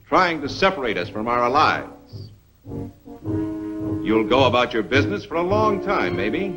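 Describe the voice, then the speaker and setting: A man narrating a 1950s military propaganda film with dramatic, deliberate phrasing. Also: mid-range voice, low-quality recording.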